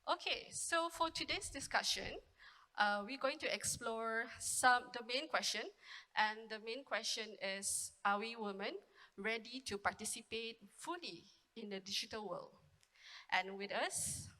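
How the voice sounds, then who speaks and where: A woman moderates a panel in a big conference hall, her bright voice ringing out in a long reverb. She talks in a chatty way, with fillers and asides to the audience. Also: a little high, a little slow. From Malaysia (Sarawak). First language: Iban.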